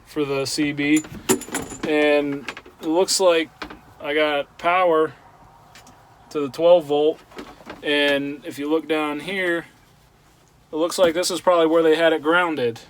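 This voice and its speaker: A man's voice: a little high, steady tone, takes it slowly, pauses often. A man casually narrating a hands-on repair on his truck, thinking aloud with fillers as he works. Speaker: channel host. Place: truck cab and engine bay.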